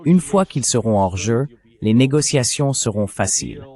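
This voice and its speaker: A man's voice: mid-range voice, animated, normal speed, dark tone. A man reading a translated voice-over of a political discussion in a blunt, opinionated way.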